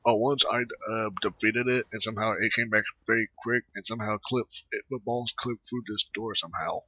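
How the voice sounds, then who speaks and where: A man with a lowish, very forceful edge to his voice, recorded on a dull lo-fi mic. He comments casually on his gameplay, haltingly, as if talking to himself. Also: level tone, no echo. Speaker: gaming video host. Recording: dull lo-fi microphone.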